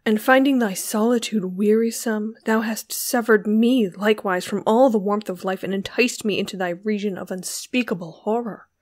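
Woman acting a venomous, scornful young man, loud and impassioned. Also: mid-range voice, steady tone, measured pace, natural voice. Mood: venomous rage. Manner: bitter, venomous, archaic and declamatory. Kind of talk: dramatic literary audiobook reading.

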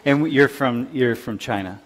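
A man's voice: mid-range voice, normal speed. Older man, casual professor quizzing students, reverberant lecture hall. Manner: casual, chatty, questioning. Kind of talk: lecture with class interaction.

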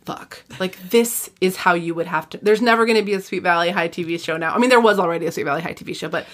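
A woman's voice: on the low side, fast talker. A woman hosting a podcast, chatting casually about books and shows. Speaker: podcast host.

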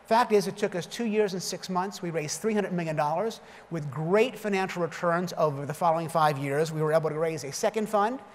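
A man's voice: high-pitched, fairly quick. A man in his fifties giving a conference keynote from a lectern, telling punchy, self-deprecating stories. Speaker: keynote speaker. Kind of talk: conference keynote with jokes and anecdotes. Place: large conference hall stage at a lectern. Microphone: lectern microphone. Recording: long hall reverb, faint background noise.

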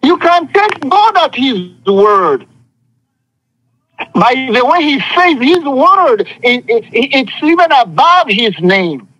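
A man's voice: extremely high pitch, sing-song, slow, bright, forceful tone, no room sound, rough recording. A man preaching an impassioned sermon, speaking emphatically with repetition and often calling for responses from listeners. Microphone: phone conference call.

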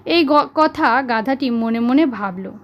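A woman narrating a children's animal fable in a deliberate, expressive way. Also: sits high, muffled recording. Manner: slow, deliberate, expressive storytelling. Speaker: storyteller.

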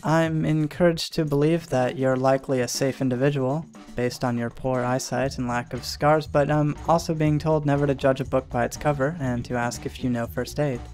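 A man's voice: medium pitch, steady tone, moderate pace, few pauses, darkish tone, soft background music, lightly acted. A man voicing a polite, overly formal boy who hears the advice of past lives. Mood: earnest. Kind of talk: web cartoon voice acting.

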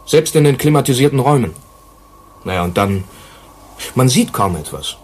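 Actor as earnest, explaining colony manager. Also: male voice, mid-range voice, lively intonation, normal speed, a little hiss or hum, lightly acted. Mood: troubled. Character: earnest male colony manager explaining grim working conditions. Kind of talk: German radio play acting.

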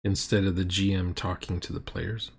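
A man's voice: sits low, level tone, moderate pace, creaky, slightly rough. A middle-aged man giving casual, sardonic and critical commentary on a roleplaying game.

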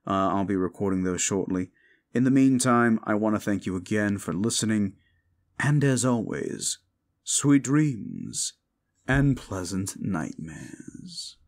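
Low-voiced man chatting casually to listeners. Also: expressive, slow pace. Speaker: audio roleplay creator and host. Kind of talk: casual outro chat to listeners.

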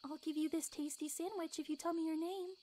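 A woman's voice: very high voice, fairly even intonation, brisk, dark tone, slight background noise. A woman voicing a shy, kind, curious young pony in a cartoon, hesitant and sweet. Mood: eager, kind. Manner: timid, hesitant, apologetic, sweet. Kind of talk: animated fan drama acting. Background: background music.

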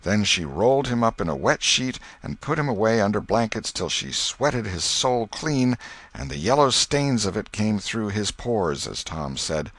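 A man reads literary fiction as an audiobook narrator. His voice sits fairly low but moves widely in pitch, with expressive storytelling phrasing. The sound is dry and close, with very little room. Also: moderate pace, no echo.

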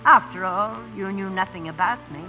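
A woman's voice: medium pitch, faint music behind, natural voice. Actress as fretful older mother, muffled radio drama. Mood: earnest, tremulous. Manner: fretful, halting, emotional. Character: anxious, loving older mother posing as a society lady. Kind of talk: 1930s radio acting. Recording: muffled 1930s radio broadcast recording.

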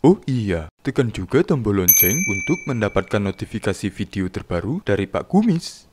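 A man's voice: lowish voice, very animated, moderate pace, background noise. A man giving a promotional intro narration as the announcer of a cartoon channel.

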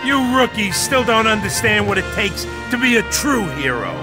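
A man playing a gruff, grumpy little middle-aged satyr who trains heroes, blustery and streetwise, in a cartoonish video game. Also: sits high, big pitch swings, normal speed, over music. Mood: grumpy dismissive. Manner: blustery, streetwise, mock-pompous when proclaiming.

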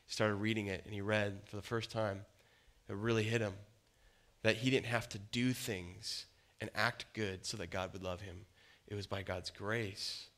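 A man in his thirties preaching a sermon in a casual, conversational and animated way, on stage in a dim church hall before a crowd. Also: mid-range voice. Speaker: pastor.

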